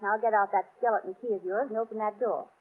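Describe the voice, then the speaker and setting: An actress in a 1930s radio drama playing a spirited, teasing, devoted wife who banters and scolds insistently. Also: female voice, medium pitch, steady tone, fast, natural voice. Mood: insistent, playful. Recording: tinny, narrow 1930s radio recording.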